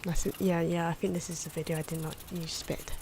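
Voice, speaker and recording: A soft-spoken, sometimes whispery woman giving unscripted, musing ASMR commentary as she draws, correcting herself as she goes. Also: lowish voice, level tone, a little fast, noisy background. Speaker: ASMR artist narrating own drawing. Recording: clock and pen noises behind. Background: ticking clock, pen scratching.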